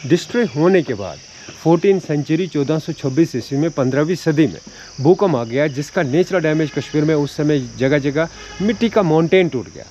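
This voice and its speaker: A man's voice: a little high, expressive, brisk, warm tone, lo-fi sound, slight background noise. A man working as a local guide, explaining the history of the ruins outdoors, calling the listener sir and listing dates and dynasties.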